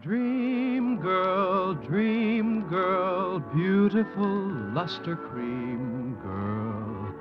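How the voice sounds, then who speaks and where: Man crooning a radio jingle, very slow, high, muffled sound, band music behind. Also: expressive. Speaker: jingle singer. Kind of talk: sung advertising jingle. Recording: dull 1950s radio recording with music.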